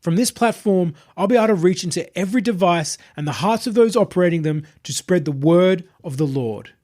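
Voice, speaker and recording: A male narrator plays a possessed online idol who believes himself a messiah. The voice is lifted high and husky, with a composed, preaching delivery. He speaks soothingly and grandly to his followers, in the manner of an audio short story villain. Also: expressive, fairly quick, darkish tone.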